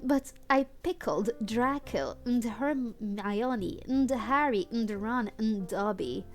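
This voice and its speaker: Woman, high voice, slow pace, playful mock-dramatic story reading, streamer on a headset. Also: animated, faint music behind. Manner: playful, mock-dramatic, breaks off for amused asides. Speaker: streamer and host. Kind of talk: livestream fan fiction read-aloud with joking asides.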